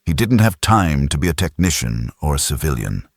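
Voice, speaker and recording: A man's voice: extremely low pitch, very animated, a little fast, gravelly, dry recording, studio quality. A man narrating a science fiction audiobook in a dramatic, scripted style.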